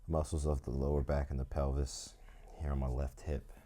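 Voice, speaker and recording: Male yoga teacher, croaky, very deep and mellow, reflective and unhurried. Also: level tone, slight background noise. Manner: slow, reflective, thinks aloud. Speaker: yoga instructor. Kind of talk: calm guided yoga instruction with musing asides.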